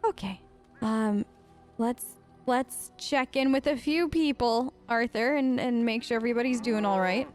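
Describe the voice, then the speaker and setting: A woman's voice: on the high side, measured pace, a little mellow, soft background music. A woman improvising casual in-character dialogue for a video game let's play, chatty and rambling with hesitations. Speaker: let's play host role-playing her game character.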